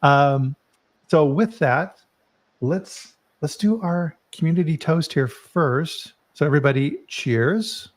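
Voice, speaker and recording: A man's voice: fairly high pitch, animated, slow. A middle-aged man hosting a live food safety chat, casual and upbeat, fond of corny jokes. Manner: jokey, upbeat, chatty. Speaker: host.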